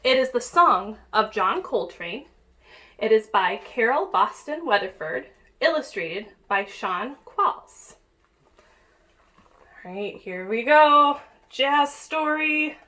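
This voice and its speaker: A woman's voice: on the high side, animated, slow, pushed and bright, low-quality recording. A woman teacher reading a picture book aloud to young pupils, addressing them directly and encouragingly with questions and asides, on a home-made recording.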